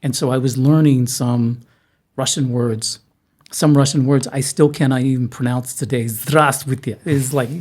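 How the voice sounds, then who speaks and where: A man's voice: medium pitch, steady tone, moderate pace, a little mellow. A man hosting a podcast interview, talking in a chatty, self-deprecating way with frequent restarts. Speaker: podcast host. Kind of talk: casual interview chat. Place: studio room in Moscow.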